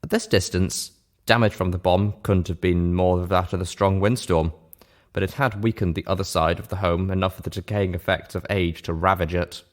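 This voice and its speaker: Story reader: man with a low voice, narrating in first person. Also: steady tone, moderate pace. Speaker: audiobook-style reader.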